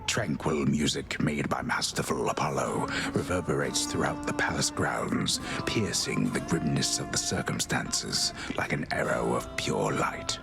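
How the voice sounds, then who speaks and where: A man's voice: a bass voice, unhurried, vocal fry, hardly any pauses, over music, exaggerated voice. A man playing a grave, poetic storytelling narrator in a video game, with florid, solemn delivery.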